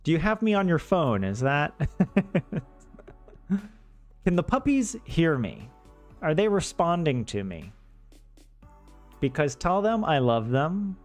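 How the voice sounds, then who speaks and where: Man from the American South, casual livestream chat, rambling, joking, self-deprecating, animated pitch, faint background music. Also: slow pace, pauses often. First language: English. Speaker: streamer learning Finnish.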